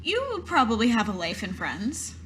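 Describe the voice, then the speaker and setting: A woman's voice: a little high, huge pitch swings, moderate pace, fairly bright tone, a little hiss or hum. A woman playing a tabletop game, improvising in character in a casual, somewhat hesitant, questioning way.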